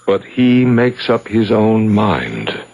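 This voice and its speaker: Deep-voiced, guarded senior club man. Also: big pitch swings, slow, creaky, darkish tone, slight background noise, full character voice, low-quality recording. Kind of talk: 1970s radio drama acting. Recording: 1970s radio broadcast recording.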